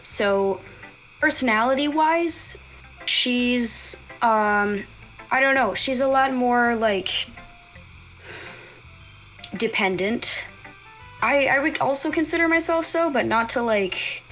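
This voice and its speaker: A woman's voice: on the high side, slow, pauses often, fairly bright tone, soft background music, rough recording. A woman in casual livestream chat, thinking aloud hesitantly and prone to giggling. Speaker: livestream panel guest, fan story creator.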